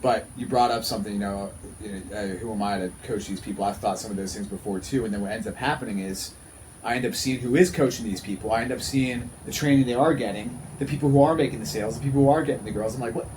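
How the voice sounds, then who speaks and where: A man's voice: on the low side, quick pace, darkish tone, a little hiss or hum, low-quality recording. A man in his thirties talking casually and earnestly as a podcast host, often repeating himself for emphasis. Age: 30s. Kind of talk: casual conversational podcast talk.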